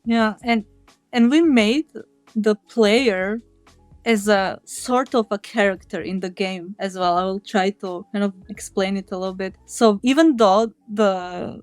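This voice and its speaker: A woman's voice: medium pitch, a little slow, no room sound, faint music behind. A woman speaking as an indie game developer in an interview, explaining step by step in conversational language.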